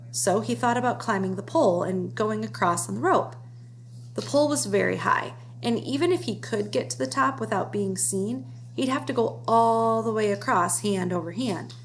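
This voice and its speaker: A woman reads a children's story aloud in a medium-pitched voice. She is the storybook narrator. A clear hum and background noise run under her reading. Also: poor audio. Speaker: storybook reader.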